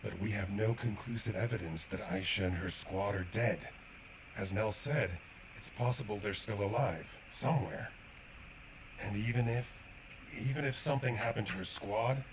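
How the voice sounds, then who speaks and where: An actor playing a grave, devoted warrior in a sci-fi video game, speaking in an earnest, measured way. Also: male voice, fairly low pitch, background noise, lightly acted. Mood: resolute. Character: grave, devoted male sci-fi warrior grieving lost comrade. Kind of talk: video game quest dialogue.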